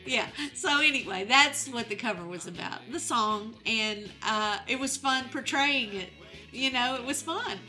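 A woman's voice: fairly high pitch, expressive, measured pace, sharp tone, faint music behind, rough recording. A woman in her sixties, a guest on a podcast, chatting candidly and laughing often. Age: 60s. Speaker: podcast guest, entertainment company head. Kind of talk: casual interview chat.

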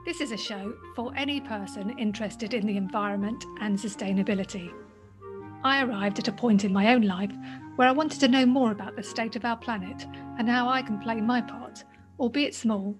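Podcast host, woman, a little high-pitched, measured read with soft background music. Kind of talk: scripted introduction and interview questions.

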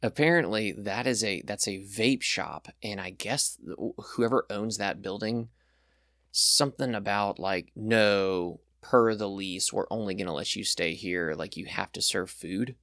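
A man's voice: lowish voice, measured pace, fairly bright tone, dry recording, clean studio sound. A young man chatting as a podcast guest, rambling and enthusiastic with lots of fillers. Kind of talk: casual chat.

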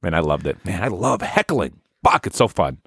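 A man in his thirties co-hosting a podcast, swearing freely and telling stories in a booming voice. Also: sing-song, moderate pace, breathy edge, no room sound, studio quality. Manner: profane, loud storytelling, booming voice. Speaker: podcast co-host. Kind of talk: casual chat.

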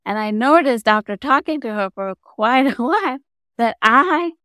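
A middle-aged woman from Sri Lanka with a Sri Lankan accent, a native Tamil speaker, telling her story as an interview guest and growing animated as she goes. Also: moderate pace, clear, resonant tone, dry recording, studio quality. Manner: animated, rising in pitch and volume when telling a story. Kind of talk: conversational storytelling.

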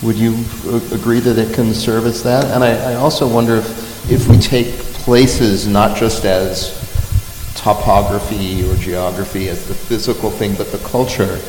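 A man moderating a live panel, framing questions in deliberate, searching phrases. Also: on the low side, steady tone. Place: large hall, live panel. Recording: echoey hall with long reverb.

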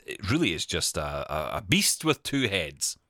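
A man's voice: low-pitched, sing-song, measured pace, pushed and bright, dry recording, studio quality. A man hosting a podcast in casual, bantering chat, with a jokey, self-deprecating manner. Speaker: podcast host, broadcaster.

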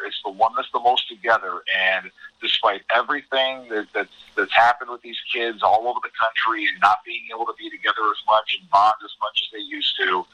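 A low-voiced American man talks through college sports as a guest analyst. His delivery is very bright and forceful, earnest and emphatic, with words often repeated as he builds a point. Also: dull audio. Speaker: college hockey commentator as podcast guest.

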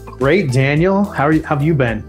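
A man's voice: on the high side, lively intonation, moderate pace, soft background music. Chatty man explaining loan plans. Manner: chatty, explanatory, light humor. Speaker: guest expert on loans. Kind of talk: casual podcast chat.

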